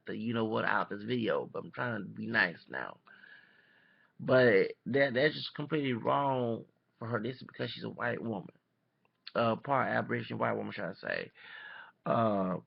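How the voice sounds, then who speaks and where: A man from Texas giving casual, rambling opinion commentary into a webcam, halting and often repeating or correcting himself. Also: medium pitch, gravelly. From Texas, United States. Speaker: vlogger. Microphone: webcam microphone. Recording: dull lo-fi webcam audio.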